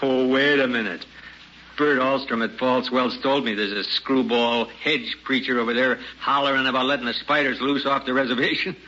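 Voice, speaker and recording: An actor playing a gruff, wary prospector father with folksy rural speech in an old radio drama. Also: medium pitch, fairly even intonation, normal speed, bright, forceful tone, close to an everyday voice. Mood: scornful. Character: gruff, suspicious prospector father, rough frontier homesteader. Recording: muffled, noisy old radio recording.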